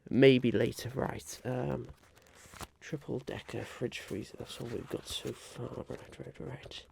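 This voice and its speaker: A man playing a polite, harried would-be businessman chairing a meeting in a soft-spoken, halting ASMR roleplay. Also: mid-range voice, steady tone, creaky, a little hiss or hum. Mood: flustered, polite. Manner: hesitant, halting, politely patient.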